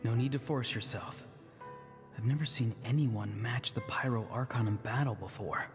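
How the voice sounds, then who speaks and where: A man's voice: medium pitch, moderate pace, over music, close to an everyday voice. Man playing an earnest young spellcaster in a reverberant space. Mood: concerned. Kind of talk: dramatic video game voice acting.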